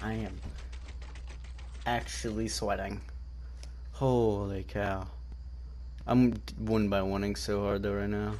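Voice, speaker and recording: A man's voice: on the low side, flat delivery, takes it slowly, pauses often, a little mellow, a little hiss or hum. A young man giving casual, slangy gameplay commentary as a streamer, talking in short muttered bursts. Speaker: game streamer.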